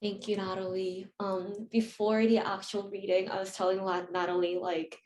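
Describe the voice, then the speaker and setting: A woman's voice: mid-range voice, flat delivery, normal speed, darkish tone, slight background noise. Young woman host in a roomy space. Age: young adult. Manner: friendly, casual, many fillers like "um" and "like". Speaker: journal host interviewer. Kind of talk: hosting a reading Q&A. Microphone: video call. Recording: roomy video call audio.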